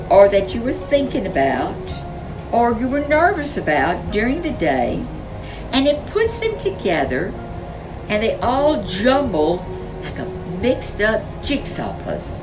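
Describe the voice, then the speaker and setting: Woman playing a soothing mother bear, unhurried and lilting. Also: fairly high pitch, huge pitch swings, far from the mic, over music, pitched above the performer's own voice, exaggerated voice, rough recording. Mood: patient. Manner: soothing, explanatory. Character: gentle, reassuring mother bear explaining patiently.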